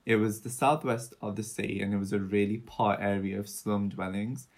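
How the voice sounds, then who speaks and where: Young woman with a very low voice. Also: fairly even intonation, moderate pace, a little mellow. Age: young adult. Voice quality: low, soothing voice. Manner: chatty, rambling, searches for words. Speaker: podcast co-host. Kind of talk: casual true-crime podcast chat.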